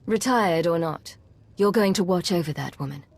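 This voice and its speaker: A steely secret agent in a cinematic game. Her voice is husky and on the low side, with composed, direct delivery. She stays serious and controlled. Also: moderate pace, a little mellow. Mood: firm. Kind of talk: cinematic video game dialogue.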